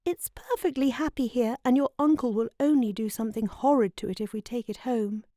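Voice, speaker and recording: Young girl played by a woman, children's storybook, high voice, mellow tone, dreamy, earnest, questioning. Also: a little fast, no room sound, studio quality, close to an everyday voice. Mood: practical, uneasy.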